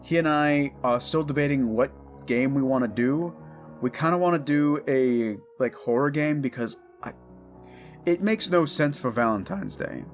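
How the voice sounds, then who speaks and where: A man in his thirties with a mid-pitched voice talks casually over guitar music. He hosts an audio channel and addresses his listeners in a loose, rambling, informal style. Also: expressive, normal speed. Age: 30s. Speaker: audio drama creator and host.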